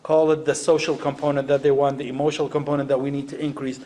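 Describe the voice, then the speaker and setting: A man's voice: medium pitch, flat delivery, fast, dark tone. A man from Iraq speaking unscripted on a panel, emphatic, repeating phrases and posing rhetorical questions. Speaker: education panelist, former science teacher. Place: live panel event.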